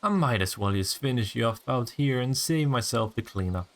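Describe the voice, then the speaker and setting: A man's voice: medium pitch, animated, lightly acted. Man playing a smug, teasing vampire, swooping intonation. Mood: menacing, flirtatious. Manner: sardonic, teasing, swearing, drawn-out taunts. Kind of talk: intimate audio roleplay acting.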